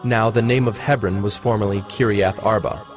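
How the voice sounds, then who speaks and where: A man narrating scripture from a script in a measured, formal manner. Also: fairly low pitch, steady tone. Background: music.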